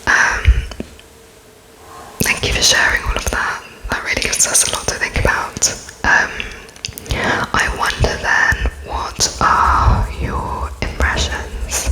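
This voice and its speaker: A woman whispering an ASMR roleplay as an interviewer, halting and pausing as she takes notes, politely echoing answers back. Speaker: ASMR performer playing an interviewer.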